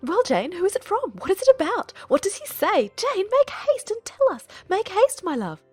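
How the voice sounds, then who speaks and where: Woman acting a fussy, animated middle-aged mother. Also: very high-pitched, normal speed, dry recording, faint music behind, heavily acted. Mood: eager, impatient. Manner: fussy, gushing. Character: excitable, silly middle-aged mother, eager matchmaker. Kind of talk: dramatized audiobook acting.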